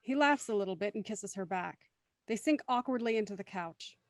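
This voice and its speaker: A woman's voice: medium pitch, lively intonation, brisk, dry recording. Dry-recorded woman narrating audio drama. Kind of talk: scripted narration of an audio drama, present tense.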